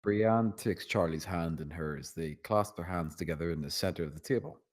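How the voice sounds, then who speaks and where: A man's voice: deep voice, moderate pace. A man narrating scene directions from a screenplay at a table read. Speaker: narrator reading stage directions.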